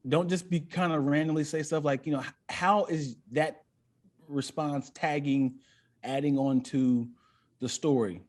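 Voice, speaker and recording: A man's voice: on the high side, steady tone, measured pace. A man talking as a comedian guest on a podcast, casual and profane, often repeating words as he finds his way into a sentence.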